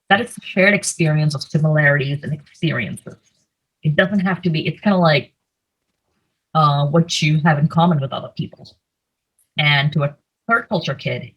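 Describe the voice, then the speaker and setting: A woman's voice: low-pitched, breathy edge, low-quality recording. A young woman from India giving a conversational slide presentation over a video call, with plenty of fillers. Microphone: computer mic, video call.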